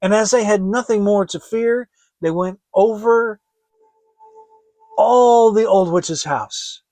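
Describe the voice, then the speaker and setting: Slow, high-pitched man narrating dramatically. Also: no echo. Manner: theatrical, expressive. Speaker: storyteller, audiobook reader. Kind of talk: dramatic scripted fairy tale narration.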